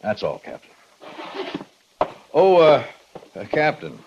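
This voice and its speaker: A dull-sounding vintage radio western features an American army major. His medium voice swings in pitch as he speaks formally and a little awkwardly, with hesitations between official phrases. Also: huge pitch swings, slow pace, background noise, full character voice. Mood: formal, hesitant. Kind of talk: 1950s radio western acting. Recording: dull 1950s radio recording.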